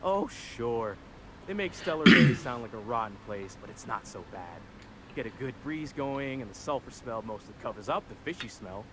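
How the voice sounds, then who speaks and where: A man's voice: mid-range voice, lively intonation, moderate pace, lightly acted. A man voicing a chatty, eager young town clerk. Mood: friendly. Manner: chatty, folksy and eager to please. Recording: game audio with background ambience noise.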